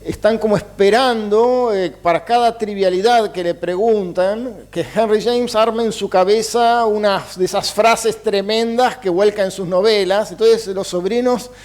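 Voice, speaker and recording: A man with an Argentine Spanish accent speaks in a fairly high voice. He answers slowly and reflectively, pausing often on fillers and chuckling lightly. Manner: hesitant, many fillers, soft chuckles. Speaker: interview guest, writer and mathematics teacher.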